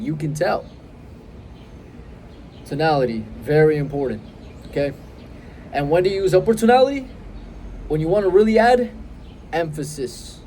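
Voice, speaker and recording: A young man in his twenties speaks straight to a phone held close, coaching with confident emphasis and deliberate pauses. His voice sits a touch high yet stays warm and mellow. Faint outdoor noise hums underneath. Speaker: self-improvement coach. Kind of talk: direct-to-camera advice vlog.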